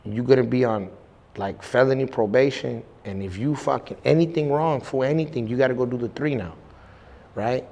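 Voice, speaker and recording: A man's voice: medium pitch, gravelly. Young guy rambling his story, slangy, lots of restarts, echoey room. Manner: rambling, slangy, many restarts and "you know what I'm saying". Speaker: guest. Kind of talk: casual storytelling interview.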